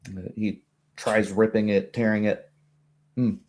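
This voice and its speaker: Slow, mellow male game master. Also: on the low side, little pitch movement. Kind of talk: descriptive tabletop roleplay narration.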